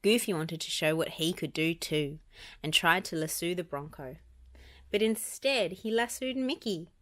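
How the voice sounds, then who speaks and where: A woman narrates a children's audiobook. Her voice sits a little low for a woman, and she tells the story expressively. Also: normal speed, fairly bright tone. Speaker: storybook reader.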